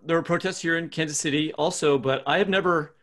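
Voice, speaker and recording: A man co-hosting a podcast, asking questions in an informal, inquisitive and somewhat halting conversational way. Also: a little high, steady tone, fairly bright tone. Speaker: podcast co-host, interviewer. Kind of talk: conversational interviewing.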